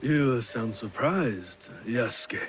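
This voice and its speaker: An actor playing a weary, stern veteran lord in a video game, with a deliberate, formal, questioning way of talking. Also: male voice, mid-range voice, lively intonation, slight background noise. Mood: stern, probing. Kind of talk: video game cutscene acting.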